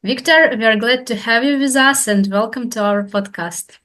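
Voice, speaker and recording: A woman's voice: on the high side, moderate pace, fairly bright tone. A woman hosting an interview podcast, polite and formal, asking questions with some hesitation. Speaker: podcast host.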